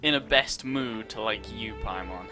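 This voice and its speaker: A man's voice: lowish voice, measured pace, over music. A man doing casual, joking gameplay commentary, reacting and repeating himself. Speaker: gaming streamer.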